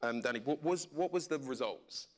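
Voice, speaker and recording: A man's voice: medium pitch, animated, moderate pace. A man hosting a live panel casually, in an informal, jokey, slangy way. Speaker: panel host, radio presenter. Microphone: event stage microphone.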